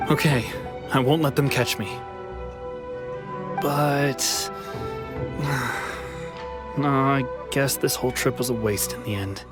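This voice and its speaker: A man's voice: mid-range voice, steady tone, slow, long pauses, music in the background, natural voice. Downcast, hesitant fugitive man, acted. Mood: regretful. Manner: earnest, hesitant. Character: regretful, resigned male fugitive, hesitant and downcast. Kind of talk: anime video game voice acting.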